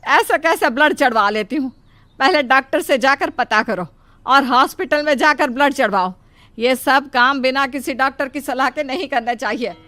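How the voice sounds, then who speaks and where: A woman's voice: high voice, moderate pace, sharp tone, no echo. A woman voicing an anxious, admonishing middle-aged mother-in-law in a cartoon moral story. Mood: scolding. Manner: fretful, admonishing.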